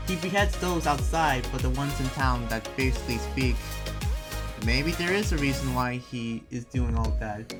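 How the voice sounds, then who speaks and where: A man playing an earnest, preachy but friendly town leader in an anime roleplay, speaking in a halting, informal way. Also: medium pitch, natural voice. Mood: earnest, cautioning. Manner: halting, rambling, informal advice-giving. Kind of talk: improvised Minecraft anime roleplay. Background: music under the voice.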